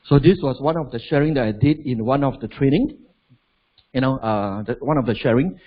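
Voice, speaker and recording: A husky man in his forties with a Malaysian English accent speaks to a seminar audience. He keeps it conversational and drops in "lah" and "right?" as he goes. The recording sounds dull and lo-fi, like a stage mic in a hall. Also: medium pitch, a little fast. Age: 40s. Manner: chatty, asks the crowd rhetorical questions, adds "lah". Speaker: motivational business speaker. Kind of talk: live seminar talk to an audience.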